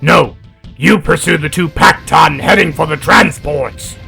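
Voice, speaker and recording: A contemptuous feline alien commander in a space audio drama. His voice is heavily gravelled and fairly high for a male. He barks orders with hard, imperious scorn over faint music. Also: a little slow, pushed and bright, heavily acted. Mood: scornful. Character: proud, contemptuous Kilrathi cat warrior taking command. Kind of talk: sci-fi audio drama acting.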